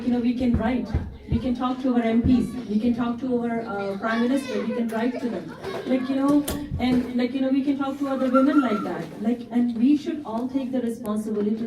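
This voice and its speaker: A woman's voice: fairly high pitch, lo-fi sound. A middle-aged woman whose first language is Urdu, giving an earnest talk to a women's gathering and often adding "right?". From Canada resident. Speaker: community activist speaker. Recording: echoey hall, voice from far off.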